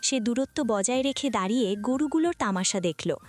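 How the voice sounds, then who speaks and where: A woman's voice: a little high, lively intonation, fairly quick. A woman reading scripted narration for a romantic family audio story.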